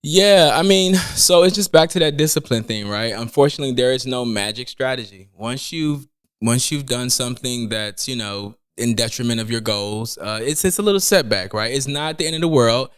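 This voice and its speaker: A man's voice: medium pitch, normal speed, a bit bright, clear, resonant tone, no echo, clean studio sound. Podcast guest, young adult man from Detroit, plain-spoken finance explainer. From Detroit, USA. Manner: explains plainly, often checks in with "right?". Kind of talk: casual podcast conversation.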